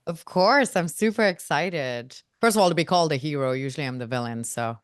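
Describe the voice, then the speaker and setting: Guest from India, woman in her 30s: low, smooth, dry close sound; lively, self-mocking storyteller. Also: brisk, no echo. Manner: lively, self-mocking, quotes people in voices. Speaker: guest, marketing agency co-founder. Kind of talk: casual storytelling interview.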